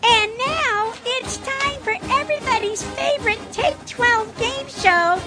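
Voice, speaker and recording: A performer voicing a squeaky sped-up game show announcer in a produced radio jingle. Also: unhurried. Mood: chipper. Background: jingle music.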